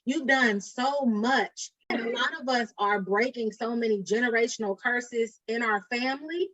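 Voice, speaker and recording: A woman's voice: fairly high pitch, moderate pace, a bit bright, dry recording. A woman hosting a podcast interview, affirming her guest and asking long, layered questions. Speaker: podcast host. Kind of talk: casual interview. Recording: dry, dull lo-fi audio.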